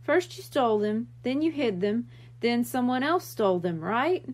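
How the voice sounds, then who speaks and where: Woman as male storybook policeman, calm authority, measured, high pitch, varied intonation. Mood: skeptical.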